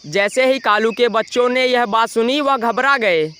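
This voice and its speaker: Very high-voiced smooth male story narrator. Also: unhurried, bright, forceful tone. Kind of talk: scripted children's story narration.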